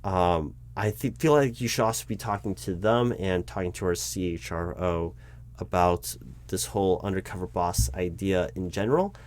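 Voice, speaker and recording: A man playing a frank, exasperated corporate recruiting manager in a one-sided audio roleplay, explaining things haltingly and correcting himself as he goes. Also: deep voice, a little mellow, lightly acted. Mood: flustered, objecting.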